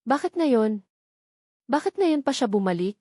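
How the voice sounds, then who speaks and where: A woman's voice: fairly high pitch, very animated, unhurried, mellow, no echo, studio quality. A woman narrator voicing a frightened, protective young mother in an audio romance story, nervous and evasive. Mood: distressed.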